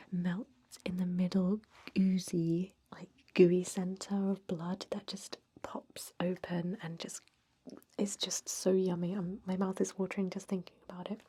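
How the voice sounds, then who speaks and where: A friendly female vampire, played by a woman, conducts a job interview as an ASMR roleplay. She speaks in a soft, breathy whisper with a dark, mellow tone. Her manner is gentle, chatty and encouraging, like that of an ageless immortal putting a recruit at ease. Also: fairly low pitch, little pitch movement, unhurried. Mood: dreamy, delighted.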